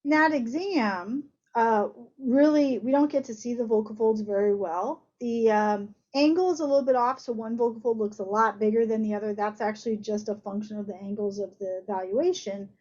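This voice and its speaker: A woman's voice: on the high side, normal speed, few pauses, a little mellow. A woman doctor giving an informal medical lecture, explaining things conversationally with everyday analogies over slightly muffled audio.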